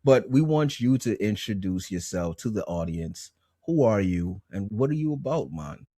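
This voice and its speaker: A man's voice: lowish voice, very animated, normal speed, warm tone, breathy edge, no echo, studio quality. A man hosting a casual podcast, asking laid-back, slangy questions. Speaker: podcast host.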